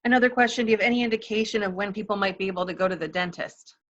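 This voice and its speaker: A woman with a bright, crisp-edged voice moderates a conference call. She passes along questions and comments in an informal way. The sound is lo-fi and muffled, as over a call line. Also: mid-range voice, racing. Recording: dull, lo-fi conference call audio.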